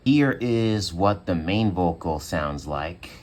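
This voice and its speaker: A young man with a very low, bassy voice talks in a loose, relaxed way, leaning on fillers as he walks through his home studio setup. He sounds close to a phone mic in a small room. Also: takes it slowly. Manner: relaxed, chatty, many fillers. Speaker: home music producer. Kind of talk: casual tutorial walkthrough.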